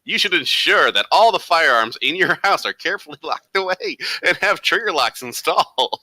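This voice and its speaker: A man's voice: fairly high pitch, animated, normal speed, bright, forceful tone, no echo. A man in an online gaming chat, talking and reading aloud in a mock-earnest way.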